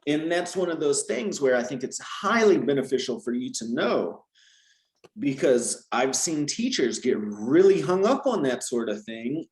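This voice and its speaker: A man in his conversational stride explains language learning as a teacher, tagging his points with quick checks for agreement. His medium-pitched voice bounces around a roomy, echoing space. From Georgia, US South. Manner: animated, explains with repeated "right?" tags. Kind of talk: conversational interview.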